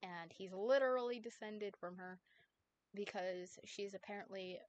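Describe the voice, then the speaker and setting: A woman's voice: medium pitch, unhurried, a little hiss or hum, close to an everyday voice. A woman voicing a casual, evasive teenage boy in a fan fiction reading, with a slightly defensive manner. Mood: apologetic.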